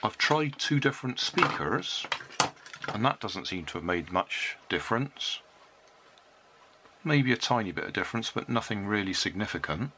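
An older British man narrating a hands-on repair in a casual, unhurried, matter-of-fact way. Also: on the low side, animated. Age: 60s or older. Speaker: hobbyist repairing and reviewing a toy. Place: cluttered indoor hobby workbench. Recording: noisy room with clicks and handling sounds.

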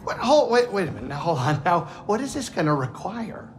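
An actor playing a gruff, wary little alien pilot in a cinematic game, with a grumbling, animated delivery. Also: male voice, sits high, fairly quick, a little hiss or hum. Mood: wary, alarmed.

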